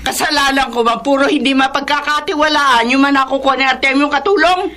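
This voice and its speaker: An actress playing a rich, bad-tempered, suspicious older sister in a Filipino radio drama, scolding and imperious, with a Filipino accent. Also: female voice, high voice, fast, pushed and bright. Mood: accusing.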